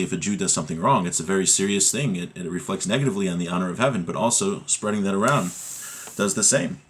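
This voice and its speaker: A man's voice: sits low, fairly bright tone, breathy edge. A man giving a religious lesson as a teacher, explaining a text and often posing rhetorical questions to check understanding.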